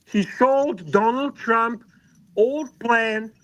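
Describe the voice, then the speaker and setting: High-voiced Serbian man, teasing. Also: extremely slow, a bit bright, dry recording. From Serbia, Belgrade. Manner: teasing, sweary. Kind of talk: casual livestream banter.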